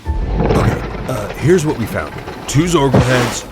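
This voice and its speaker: A man acts out a bumbling space soldier, hesitant and deferential. His pitch jumps around wildly as he speaks, with loud music behind. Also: very animated, measured pace. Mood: nervous reporting. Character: nervous, bumbling underling soldier reporting to a feared commander. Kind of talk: sci-fi audio drama acting.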